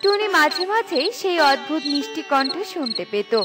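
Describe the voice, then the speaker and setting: A woman narrates a children's fairy tale in a very high voice. She reads from a script as storyteller, with loud music underneath. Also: expressive, moderate pace.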